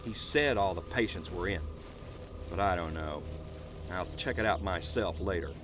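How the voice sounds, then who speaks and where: Man acting a gruff, low-voiced, bossy sheriff. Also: normal speed, background noise, close to an everyday voice. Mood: stern. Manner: commanding, curt, coarse-mouthed. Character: gruff, bossy, hot-tempered small-town sheriff. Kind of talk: audio drama acting.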